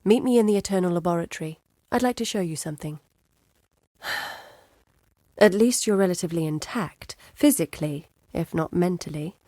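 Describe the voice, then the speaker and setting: Casting: guarded female scholar-explorer for a fantasy game; serious, articulate, measured, close dry studio sound. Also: fairly low pitch, lively intonation, mellow, dry recording. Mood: focused, earnest, then dry reproach. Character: guarded, earnest woman scholar-explorer guiding an adventurer. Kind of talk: scripted video game voice acting.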